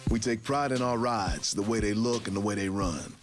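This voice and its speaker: An actor playing an earnest, streetwise street-racing crew leader in a video game, talking colloquially and reflectively over music. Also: male voice, lowish voice, normal speed, close to an everyday voice. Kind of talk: video game cutscene narration and dialogue. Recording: music under the voice.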